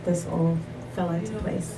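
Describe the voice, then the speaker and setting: A woman's voice: fairly low pitch, fairly even intonation, normal speed, loud music behind the voice. A woman from El Salvador, a skincare business owner, giving brief, matter-of-fact answers in a casual radio interview. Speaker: guest, aesthetician and business owner. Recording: distant mic with background noise.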